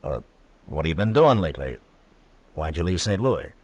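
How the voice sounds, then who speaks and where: Old west lawman, real deep n husky, steady, few words, old radio show. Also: normal speed, no echo, exaggerated voice. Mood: guarded, casual. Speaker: radio drama actor. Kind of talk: old-time radio western acting. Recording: vintage radio drama recording.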